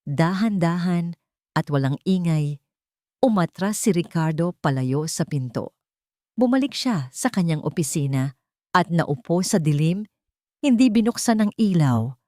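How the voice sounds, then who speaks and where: Filipino woman narrating, lowish voice, very dry sound. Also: animated, a little slow, long pauses, darkish tone, dry recording. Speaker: storyteller, narrator. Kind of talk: scripted dramatic story narration.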